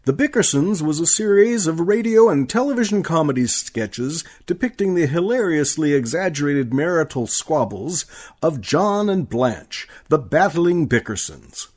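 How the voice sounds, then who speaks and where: A man's voice: fairly high pitch, a little mellow. A man reading scripted narration as a theatrical announcer for a 1940s-style radio play.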